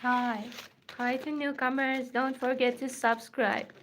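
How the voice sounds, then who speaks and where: Slow-talking Persian-accented woman. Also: high voice, flat delivery, fairly bright tone, slight background noise. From Iran. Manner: halting, simple non-native phrasing, repeats words. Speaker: artist hosting a crafting livestream. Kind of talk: casual livestream chat. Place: her studio.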